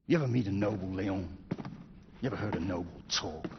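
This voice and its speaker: A man playing a mocking, ambitious villainous henchman in a video game, speaking theatrically and with menace. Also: low-pitched. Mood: grandiose, mocking. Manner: theatrical, slow-savouring, menacing. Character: sinister, mocking, ambitious revolutionary henchman, grandiose storyteller. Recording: cavernous reverb, dull, noisy game audio.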